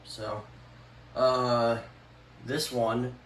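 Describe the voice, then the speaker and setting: A man gives a casual, unscripted show-and-tell in a halting, offhand manner. His medium-pitched voice rings around an echoey room, with faint noise behind it. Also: level tone, a bit bright. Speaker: vlogger showing a radio collection.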